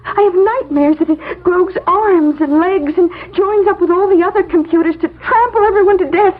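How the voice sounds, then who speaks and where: A thin old radio recording with faint noise. a timid young secretary with a very high voice, hesitant and plaintive. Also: female voice, moderate pace, narrow, tinny audio. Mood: tearful, frightened. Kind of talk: 1970s radio drama acting.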